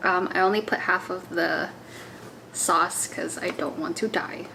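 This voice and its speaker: A young woman who grew up in Indonesia, chatting casually over a meal, lively and quick to laugh. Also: lowish voice, vocal fry, slight background noise, low-quality recording. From grew up in Indonesia, born in the US. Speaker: co-host. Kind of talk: casual chat while eating.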